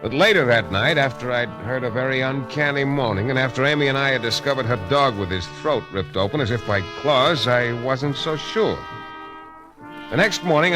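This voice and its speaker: A man's voice: medium pitch, close to an everyday voice, poor audio. An actor playing a wry, hard-boiled American private detective, narrating his case in a 1940s radio drama style. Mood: tense. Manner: wry, world-weary storytelling. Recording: old radio broadcast with music behind.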